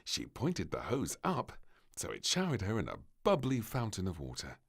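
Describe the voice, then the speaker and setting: A man reading a children's story aloud as an expressive storybook narrator. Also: lowish voice, moderate pace, gravelly, husky.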